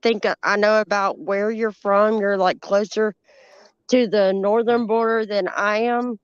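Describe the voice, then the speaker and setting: A woman with a Southern accent, a guest talking slowly and emphatically in a casual live-stream chat. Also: medium pitch, steady tone, clean tone, no room sound. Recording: dull dry call audio.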